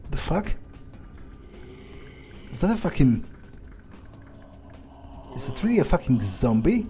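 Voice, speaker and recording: A man voicing a cold, aloof vampire in an in-character game playthrough, measured and sardonic, as if musing to himself. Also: medium pitch, sing-song, warm tone, soft background music. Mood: incredulous. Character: cold, aloof male vampire, darkly dismissive.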